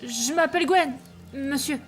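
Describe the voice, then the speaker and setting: Une actrice joue un petit orphelin timide, qui hésite et bégaie un peu, dans une fiction audio. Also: female voice, high voice, normal speed, soft background music, natural voice. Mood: shy, nervous. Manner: hesitant, stammering. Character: timid, shabby little orphan boy.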